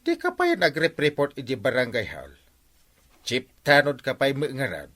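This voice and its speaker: A man's voice: mid-range voice, huge pitch swings, normal speed, a bit bright. A man with an Ilocano accent playing an exasperated village captain and scolding uncle in a radio comedy drama, exclaiming and nagging.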